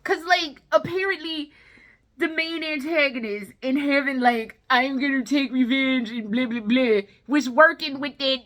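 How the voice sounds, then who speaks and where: Woman, high bright voice, casual vlog rant, blunt, slangy, exasperated, swears freely. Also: measured pace. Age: adult, no longer young. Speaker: vlogger reviewing films. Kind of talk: casual ranting commentary, chatty.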